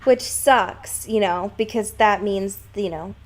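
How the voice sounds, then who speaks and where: A woman's voice: mid-range voice, slow pace, background noise. Woman podcaster talking thru a book, casual but analytical, kinda emphatic, swears a bit. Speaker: podcast co-host.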